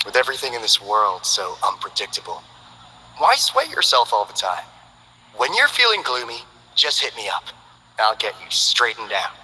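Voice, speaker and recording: An actor playing a smooth-talking, friendly male info broker, with teasing, salesman-like banter in game character voice lines. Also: medium pitch, wildly swooping pitch, moderate pace, bright, forceful tone, in a large hall, slight background noise, exaggerated voice, rough recording. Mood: breezy.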